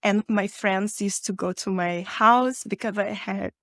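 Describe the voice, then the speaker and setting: A woman's voice: medium pitch, steady tone, measured pace, fairly bright tone, no room sound, clean studio sound. A woman from Brazil with a Brazilian accent and Portuguese as her native language, talking conversationally as a guest in an interview. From Rio de Janeiro, Brazil. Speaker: podcast guest, author and podcaster.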